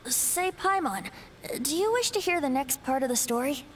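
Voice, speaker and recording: A woman's voice: sits high, lively intonation, normal speed. A woman playing a boyish, ancient wind spirit bard in a video game, a playful, lilting storyteller with a light voice. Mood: teasing, warm. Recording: game music behind the voice.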